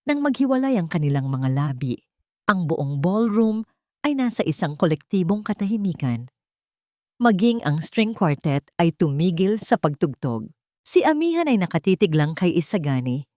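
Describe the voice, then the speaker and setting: Low-voiced woman narrating romantic drama. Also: very animated, normal speed, a little mellow, dry recording, clean studio sound. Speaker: story narrator.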